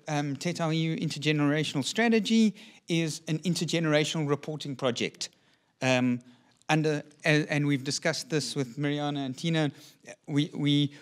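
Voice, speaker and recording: A middle-aged man giving a short prepared talk from notes on an indoor stage, speaking earnestly into a handheld microphone with frequent ums and restarts. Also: a little high. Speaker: community speaker. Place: indoor stage with dark curtain.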